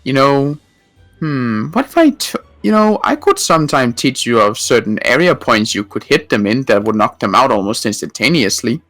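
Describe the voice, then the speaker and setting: Guy doing a seasoned water mage, formal and measured, pitch swoops around, dry sound. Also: big pitch swings, fairly bright tone, no room sound, soft background music. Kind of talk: roleplay chat.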